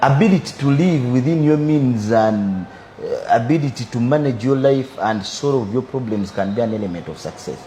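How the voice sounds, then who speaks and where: Guest: Ugandan man, twenties, mid-pitched, measured and reflective, faint noise behind. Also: animated, dark tone. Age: 20s. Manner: measured, philosophizing, repeats "for me, I believe". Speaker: businessman guest. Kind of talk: interview answers.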